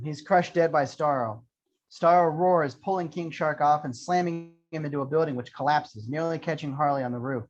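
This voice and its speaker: A man reading screenplay action lines as scripted narration at an amateur table read. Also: on the high side. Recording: dull lo-fi sound.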